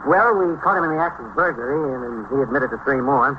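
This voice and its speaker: Actor as fast-talking detective captain, old radio. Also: male voice, medium pitch, lively intonation, natural voice. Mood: matter-of-fact. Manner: clipped, matter-of-fact case reporting. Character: determined male safe squad detective captain. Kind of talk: 1950s radio drama acting. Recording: noisy old radio recording, dull band.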